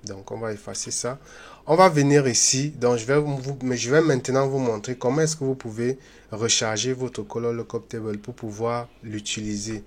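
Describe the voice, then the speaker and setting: A man hosting a software tutorial, talking loosely and chattily with many fillers and restarts, and speaking straight to his viewers in a friendly way. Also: mid-range voice, steady tone, moderate pace. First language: not English. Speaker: YouTube tutorial host. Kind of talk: unscripted screen-recorded software tutorial.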